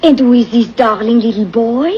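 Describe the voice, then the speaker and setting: An actress playing a coaxing French maid. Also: female voice, on the high side, normal speed, natural voice. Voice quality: broken English, coaxing and flattering. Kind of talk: classic film acting. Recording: dull old film soundtrack with faint hiss.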